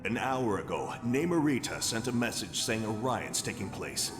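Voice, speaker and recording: An actor playing an upright superhero team captain in a video game, speaking firmly and earnestly. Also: male voice, fairly low pitch, expressive, moderate pace, in a large hall, over music, natural voice. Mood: earnest, resolute. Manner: firm, earnest, commanding. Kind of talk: video game hero acting.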